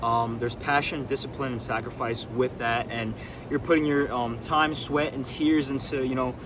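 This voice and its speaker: A man's voice: medium pitch, fairly even intonation, normal speed, background noise. A man in his early twenties giving a casual talking-to-camera reflection, rambling with many fillers and restarts and laughing at his own slips. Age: 20s. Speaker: vlogger.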